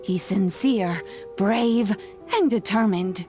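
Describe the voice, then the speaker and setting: An actress playing a kindly, gentle old granny in a video game, fondly proud of her boy. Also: medium pitch, music in the background, lightly acted. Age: older. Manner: gentle, unhurried, fond.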